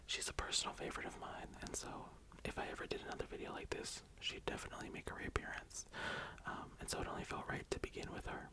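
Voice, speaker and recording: A young adult ASMR host speaking and reading poetry in a breathy, gentle whisper, lingering on the words. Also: fast talker, hardly any pauses.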